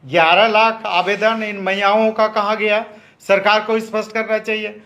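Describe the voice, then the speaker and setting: Indian man, party spokesperson giving a statement, high pitched, insistent, slightly muffled recording. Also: little pitch movement, moderate pace, a bit bright. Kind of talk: political statement. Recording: dull, band-limited clip.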